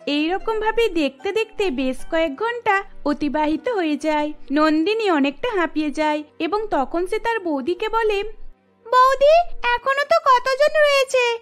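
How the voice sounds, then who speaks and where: A woman's voice: very high voice, expressive, moderate pace, soft background music. A woman narrating a scripted cartoon story.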